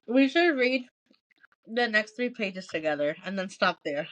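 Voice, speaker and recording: A woman's voice: medium pitch, expressive, a little slow, a bit bright, low-quality recording. A woman chatting casually as a podcast co-host, blunt and insistent in a slangy way. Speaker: book club podcast co-host. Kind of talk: casual chat.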